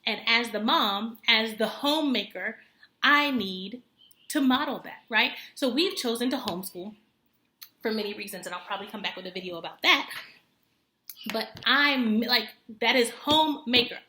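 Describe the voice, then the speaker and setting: A woman's voice: on the high side, sharp tone. A woman in her thirties talking to camera in an earnest, chatty way, with many fillers and self-corrections. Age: 30s. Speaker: homemaker vlogger. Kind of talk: casual talk to camera. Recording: echoey room.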